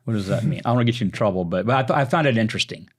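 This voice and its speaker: A man's voice: lowish voice, expressive, rapid-fire. A man hosting a podcast, asking questions in a casual, conversational way. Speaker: podcast host.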